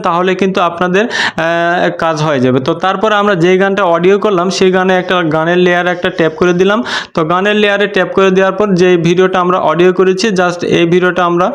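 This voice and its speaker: A man's voice: sits high, a bit bright. A man narrating a step-by-step app tutorial in a repetitive, instructional way. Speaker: tutorial host.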